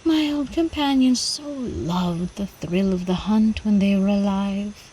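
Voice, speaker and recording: Lady acting as an old vampire countess for asmr, slow soft mellow murmur, refined and teasing, crickets behind. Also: mid-range voice, a little hiss or hum, natural voice. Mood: seductive, wistful. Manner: slow, refined, coyly teasing, formal old-fashioned diction.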